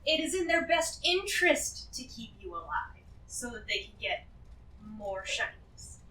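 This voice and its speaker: Woman playing a high-pitched, chatty, greedy treasure-hoarder. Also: very animated, measured pace, sharp tone, exaggerated voice. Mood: reasoning, persuasive. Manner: rambling, hesitant, emphatic. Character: chatty, greedy female treasure-hoarder, shrewd and self-justifying. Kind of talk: improvised tabletop fantasy role-play acting. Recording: echoey room, distant from the mic.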